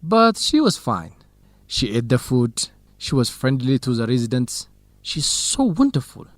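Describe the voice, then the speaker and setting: A man's voice: mid-range voice, very animated, unhurried, no echo. An actor playing an earnest, lovesick young male student researcher in an educational radio drama, talking in plain, simple sentences. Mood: lovesick, wistful.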